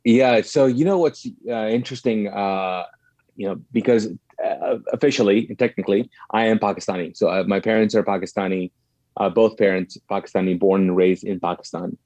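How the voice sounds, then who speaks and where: A man's voice: lowish voice, brisk, mellow, dry recording. A middle-aged man from Kuwait, a podcast guest telling personal stories conversationally, with asides and self-corrections.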